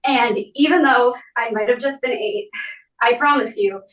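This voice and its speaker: A woman speaking at a memorial, reading and talking in measured, deliberate phrases with pauses. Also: fairly high pitch, fairly bright tone. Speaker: speaker giving a eulogy for their parent. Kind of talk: memorial speech and poem reading. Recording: roomy echo, distant mic.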